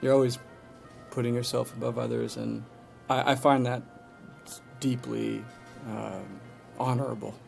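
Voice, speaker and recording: An actor playing a creepy, adoring, submissive coworker with a halting, over-earnest delivery in a scripted podcast comedy. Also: mid-range voice, little pitch movement, gravelly, faint music behind. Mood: awkwardly earnest.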